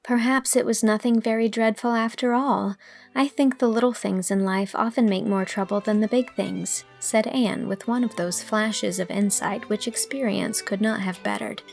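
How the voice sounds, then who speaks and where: A woman with a mid-pitched voice and a noticeable creak narrates a classic storybook. Her reading is scripted and expressive, moving between description and dialogue, with faint music underneath. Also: normal speed.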